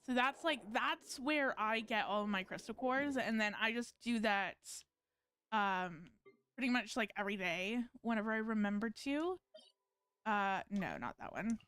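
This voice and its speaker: A woman's voice: fairly high pitch, expressive, unhurried, fairly bright tone, clean tone. A woman giving casual live-stream commentary on a game, rambling and thinking aloud as she plays.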